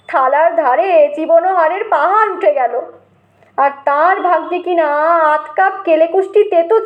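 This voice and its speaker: A woman's voice: high voice, phone-quality sound. A woman storyteller narrating a comic short story in a dramatic audiobook style.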